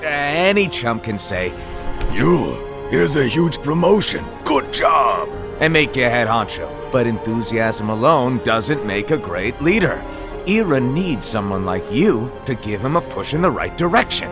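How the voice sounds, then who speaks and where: Teasing, cryptic master, wildly expressive. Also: male voice, mid-range voice, a little slow, over music. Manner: playful, teasing, theatrical delivery. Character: flippant, cryptic male master mentoring his apprentices. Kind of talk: animated game cutscene acting.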